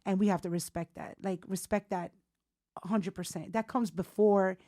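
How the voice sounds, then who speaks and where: An outspoken woman in casual podcast conversation as the guest. Her voice sits at an average pitch, and she talks playfully, repeating phrases to drive them home. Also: steady tone, moderate pace, mellow. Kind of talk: casual candid chat.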